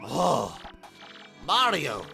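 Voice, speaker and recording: A man voicing an excitable, learned old professor in a video game dub, with an earnest, scholarly manner. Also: fairly high pitch, drawn-out words, vocal fry, soft background music, exaggerated voice. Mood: awed.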